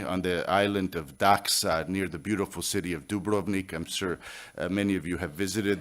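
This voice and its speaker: Man, American accent, deep voice, conference speech, measured, frequent fillers. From United States. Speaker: conference speaker.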